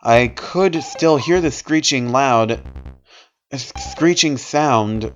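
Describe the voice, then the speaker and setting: A man's voice: mid-range voice, slow, dull audio. Man reading a story aloud, theatrical delivery. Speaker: narrator reading horror fan fiction aloud. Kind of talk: dramatic story reading with amused asides.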